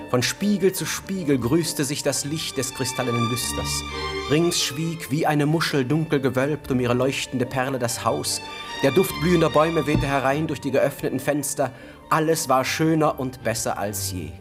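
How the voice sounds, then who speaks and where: A man playing the grave storyteller of a radio drama, narrating in a measured, expressive literary style. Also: medium pitch, over music, lightly acted. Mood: solemn, lyrical.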